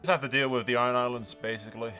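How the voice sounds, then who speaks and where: A man's voice: mid-range voice, steady tone, very fast, sharp tone, soft background music. A man hosting a gaming video, rambling casually through his commentary with sweary, jokey asides to the audience. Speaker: host of a gaming video channel.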